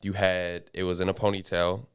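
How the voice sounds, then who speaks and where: A man's voice: low-pitched, little pitch movement, normal speed, clean tone. A man in his twenties hosting a casual podcast, talking in a rambling way with many restarts. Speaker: podcast host. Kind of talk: casual chat.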